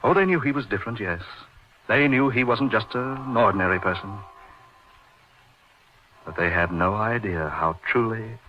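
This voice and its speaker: An actor playing an intense middle-aged surgeon in an old-time radio horror drama, recounting his uncanny friend with deliberate, emphatic repetition. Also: lowish voice, lightly acted. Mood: mysterious, brooding. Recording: dull lo-fi radio recording, music behind.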